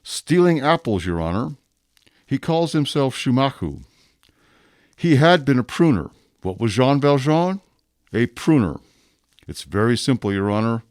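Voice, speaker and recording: An actor playing a rigid, dutiful police inspector in a radio drama, speaking formally and deliberately with stiff deference. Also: male voice, medium pitch, very animated, darkish tone, no room sound, studio quality. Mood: insistent, certain. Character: rigid, dutiful male police inspector, self-accusing and obsessive.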